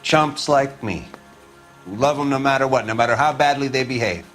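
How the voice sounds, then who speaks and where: A man's voice: medium pitch, normal speed, a bit bright, soft background music, lightly acted. An actor playing a browbeaten American husband in a crime game, a wounded, defensive suspect pleading his case in plain words. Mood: weary confession. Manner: pleading, plain-spoken, self-pitying. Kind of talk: dramatic video game acting.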